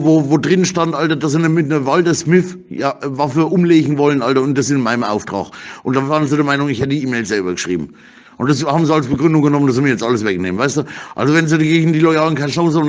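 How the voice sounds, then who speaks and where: A man rambling in an agitated, slangy way, stammering and repeating words in a voice message. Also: on the high side.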